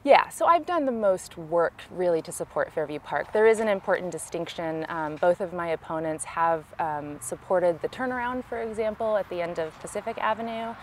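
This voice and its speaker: A woman with a Californian American accent giving measured, explanatory answers in a conversational interview as a local political candidate, recorded outdoors. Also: fairly low pitch, slight background noise. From California, United States. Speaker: city council candidate, interviewee. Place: outdoors in a park.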